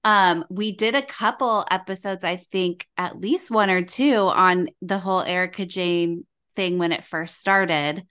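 Woman podcast host, lower-pitched but bright and crisp, bubbly off-the-cuff chat. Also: measured pace, hardly any pauses. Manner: bubbly, laughs often, off the cuff.

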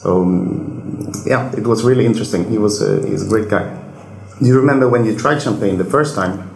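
A man with a Swedish accent hosting a podcast interview in English, asking relaxed questions with frequent fillers. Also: lowish voice, a little fast, creaky, roomy sound, low-quality recording. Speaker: podcast host. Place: hotel wine cellar.

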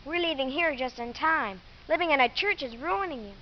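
Sassy little girl, high voice, colloquial, hissy old radio drama. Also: expressive, fairly quick. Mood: needling, hurt. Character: wry, tough-talking little girl, devoted daughter. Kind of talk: 1950s radio drama acting. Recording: hissy muffled 1950s radio recording.

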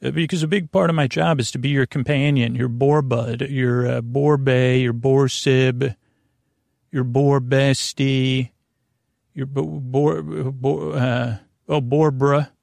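A man hosting a sleep podcast in a rambling, goofy bedtime monologue, halting often, trailing off and restarting in friendly banter. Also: medium pitch, fairly even intonation, gravelly, a little mellow, no echo.

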